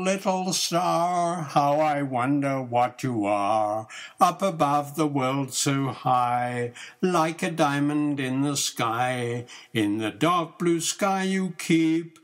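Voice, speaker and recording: An older man, a grandfather, reciting nursery rhymes expressively in a rhythmic sing-song with drawn-out line endings. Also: mid-range voice.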